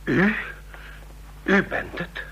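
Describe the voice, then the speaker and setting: An actor playing an anxious, cornered old rogue in a radio drama, speaking in halting, clipped phrases. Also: male voice, lo-fi sound, noisy background. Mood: wary, startled. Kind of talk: Dutch radio drama acting.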